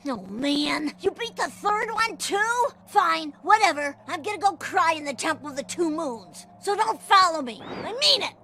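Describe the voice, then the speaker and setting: A nerdy cartoon kid rival, high and sharp, with a lively up-and-down delivery. The bravado is thin and whiny, always close to sulking. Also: female voice, slight background noise, full character voice. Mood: deflated, sulky. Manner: petulant, halting, deflating bravado. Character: whiny, nerdy child game rival, cocky but easily deflated. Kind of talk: video game cartoon voice acting.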